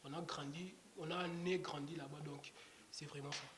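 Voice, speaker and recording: A man's voice: on the high side, fairly even intonation, normal speed. A young Congolese man, a rapper, talking casually in an interview, rambling with lots of fillers and restarts. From Democratic Republic of the Congo. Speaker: rapper guest. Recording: echoey room with clear background noise.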